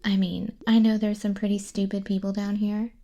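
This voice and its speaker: Woman as a creaky, whispering, sardonic demon clerk. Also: medium pitch, little pitch movement, moderate pace, natural voice. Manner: soft-spoken, half-whispering, dry, condescending, sighing. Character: sardonic, bored female demon clerk registering sinners in Hell. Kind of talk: whispered ASMR roleplay. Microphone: close binaural microphone.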